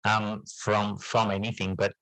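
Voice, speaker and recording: A man's voice: on the low side, level tone, measured pace, a bit bright, dry recording, poor audio. A middle-aged man talking reflectively as an interview guest, often repeating words and hedging as he explains his thoughts. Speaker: podcast guest, teacher and fiction writer.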